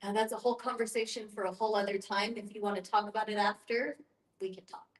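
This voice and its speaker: A woman's voice: medium pitch, steady tone, fast talker. A woman facilitating an informal group discussion, explaining things in a reassuring way. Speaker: guest facilitator and end-of-life educator. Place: echoey room with a group. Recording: echoey room.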